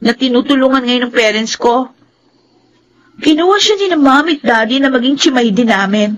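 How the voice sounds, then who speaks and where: An actress playing a spoiled, resentful young daughter from a well-off family in a radio drama, sulky and emphatic. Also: female voice, high voice, expressive, normal speed, highs cut off, slight background noise.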